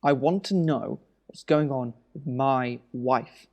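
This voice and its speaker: A man's voice: mid-range voice, slow pace, a little mellow. A man playing an anxious, stubborn younger brother and worried husband in a naturalistic audio drama, hesitant and earnest.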